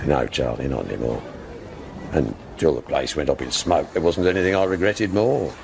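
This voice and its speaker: A man's voice: extremely low pitch, moderate pace, creaky, a little mellow, slight background noise. A man acting in a radio drama as a world-weary, proud older club owner, speaking in wry, clipped fragments. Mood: regretful, resigned.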